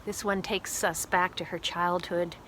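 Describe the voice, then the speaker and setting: A woman's voice: on the low side, fairly even intonation, unhurried, fairly bright tone, slight background noise, poor audio. A woman talking casually and thoughtfully about books she loved, sharing recommendations at home. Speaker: book reviewer. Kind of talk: casual book review vlog.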